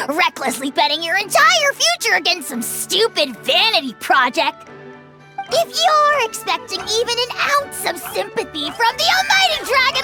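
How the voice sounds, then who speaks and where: A very high, childlike little dragon lord swoops through pompous, mocking boasts. The creature is haughty and hot-tempered, in theatrical video game style, with music playing underneath. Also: female voice, heavily acted. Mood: gleeful mocking.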